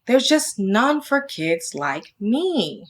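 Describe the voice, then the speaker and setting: A woman's voice: on the high side, big pitch swings, drawn-out words, heavily acted. A woman voicing a shy, unhappy young child in a storybook, with a plaintive manner. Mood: tearful.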